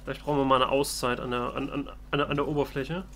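A man's voice: mid-range voice, flat delivery, quick pace, fairly bright tone, a little hiss or hum. A man doing casual, in-character let's play commentary, musing and thinking aloud. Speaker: let's player.

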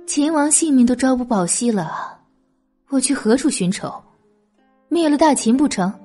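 A woman voicing a shrewd, resolute female strategist in an audiobook, measured, wry and commanding. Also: sits high, lively intonation, warm tone, breathy edge, faint music behind.

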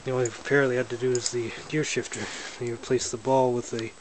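A man with a voice of middling pitch talks in a relaxed, plainspoken way as he films his own walkaround in a garage. The handheld camera picks him up close, with clear noise running under his voice. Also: fast talker. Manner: relaxed, plainspoken, matter-of-fact. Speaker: hobbyist showing his snowmobile. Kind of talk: casual walkaround narration. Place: indoors, a garage or shed with windows. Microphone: handheld camera held by the speaker. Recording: noisy handheld camera audio.

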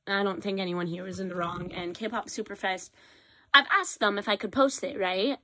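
A teenage girl, hoarse from a cough, talking casually and rambling into a webcam in her small bedroom. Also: mid-range voice, normal speed, a little hiss or hum, rough recording. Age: teens. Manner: rambling, self-correcting, animated at times. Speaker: vlogger. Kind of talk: casual confessional vlog. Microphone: built-in webcam or phone mic, close.

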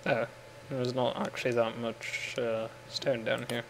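A man's voice: medium pitch, level tone, a little slow, creaky, a crowd in the background. A man with an English accent chatting and joking while playing a game, often giggling and rambling. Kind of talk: casual gaming chat.

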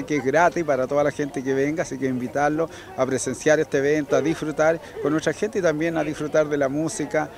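Man, chilean accent, outdoor interview, upbeat, raised pitch. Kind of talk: on-camera interview. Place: outdoor fair. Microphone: handheld news mic.

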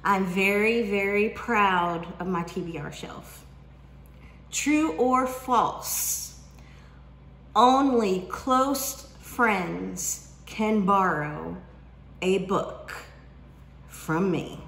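A woman's voice: mid-range voice, drawn-out words, creaky, a bit bright. A woman, chatting casually to camera about books from her home kitchen and drawing out her questions in a teasing way. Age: 40s. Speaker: book vlogger. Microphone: camera at desk distance. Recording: roomy kitchen echo.

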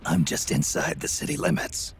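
An actor playing a terse, grizzled veteran soldier spy with a deep gravelly rasp in a video game. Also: male voice, normal speed, close to an everyday voice. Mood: gruff. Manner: terse, clipped replies. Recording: game audio with steady noise.

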